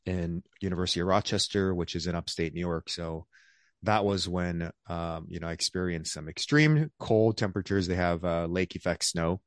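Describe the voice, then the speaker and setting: A man's voice: low voice, normal speed, no room sound, studio quality. A man in his thirties, a doctor who was born in South Korea and raised around the United States, chatting as a podcast guest in an easygoing, rambling way with lots of fillers. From born in South Korea, raised across the United States. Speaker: guest, physician. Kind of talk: casual interview conversation.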